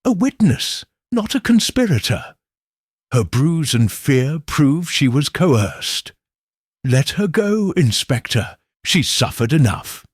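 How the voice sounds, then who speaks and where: Shrewd woman detective, played by a male narrator: calm, assured, raised pitch, audiobook mystery. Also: takes it slowly, breathy edge, dry recording, clean studio sound, exaggerated voice. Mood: gentle, firm. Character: calm, shrewd woman amateur sleuth, gently triumphant.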